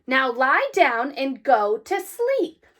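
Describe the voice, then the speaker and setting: A woman voicing an exasperated father scolding his young son at bedtime in a children's storybook, with emphatic, drawn-out warnings. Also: high voice, big pitch swings, fairly bright tone, full character voice. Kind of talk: children's storybook read-aloud.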